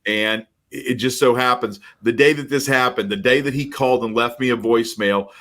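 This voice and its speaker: A middle-aged man co-hosting a mortgage podcast, talking in an energetic, emphatic storytelling way. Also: mid-range voice, brisk. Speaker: podcast co-host, mortgage professional. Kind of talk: casual chat.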